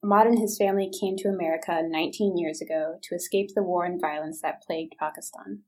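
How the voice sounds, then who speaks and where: A woman reading scripted feature narration in an even reportorial tone. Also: on the low side, fast talker, poor audio.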